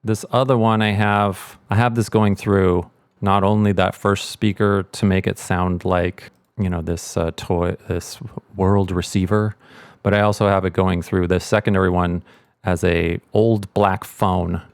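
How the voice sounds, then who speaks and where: A man's voice: low voice, level tone, measured pace, smooth, no room sound, clean studio sound. A man giving a casual sound-mixing tutorial, thinking aloud informally as he demonstrates.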